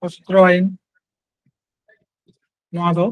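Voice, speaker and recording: Male exam tutor, high voice with little melody, close dry sound, repeats each question in short phrases. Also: completely flat delivery, slow, no room sound, low-quality recording. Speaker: teacher. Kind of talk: exam question-and-answer lesson.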